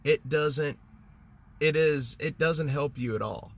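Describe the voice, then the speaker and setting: A man's voice: on the high side, slow pace, slight background noise. A man, congested, chatting casually on a livestream in a rambling way with lots of fillers and restarts. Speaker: livestream host. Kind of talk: casual livestream chat with viewers.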